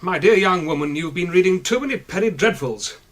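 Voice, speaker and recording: An actor playing a pompous, patronising gentleman investigator with a British accent, dryly superior in a radio drama. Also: high-pitched, expressive, brisk. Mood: dismissive.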